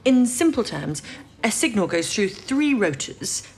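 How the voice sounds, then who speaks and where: A woman acting in an audio drama as a steady, encouraging, experienced wartime codebreaker who reassures and explains patiently. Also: medium pitch, a little slow. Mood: patient, explaining.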